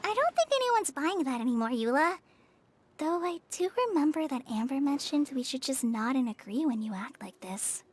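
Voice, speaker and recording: A woman's voice: high-pitched, animated, moderate pace, slight background noise. A woman playing a kind, helpful, girlish young character in an anime video game, earnest and reassuring. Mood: gently teasing. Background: game music.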